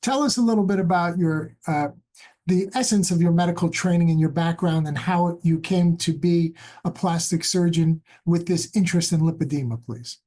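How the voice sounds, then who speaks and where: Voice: medium pitch, moderate pace, mellow. A podcast host introducing a guest and asking long, rambling questions with many fillers. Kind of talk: interview hosting.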